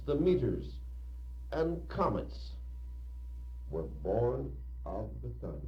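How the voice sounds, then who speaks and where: A man giving a formal, prepared lecture in a measured, oratorical way. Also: mid-range voice. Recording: muffled echoey old reel-to-reel tape.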